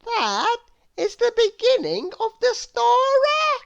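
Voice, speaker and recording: A woman voicing a contrary little storybook lady who gleefully says the opposite, in a shrill, drawn-out, exaggerated delivery. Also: wildly swooping pitch, creaky, muffled recording, heavily acted.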